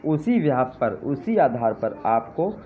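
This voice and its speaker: A man's voice: mid-range voice, big pitch swings, slow pace. A man giving an impassioned live address to his supporters, with fervent, repetitive appeals that rise to indignant jabs. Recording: tinny phone-line sound with faint noise.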